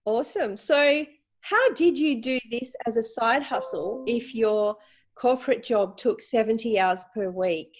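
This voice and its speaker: A woman's voice: fairly high pitch, unhurried. Eager woman interviewer, dull lo-fi sound. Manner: eager, enthusiastic. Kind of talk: casual interview.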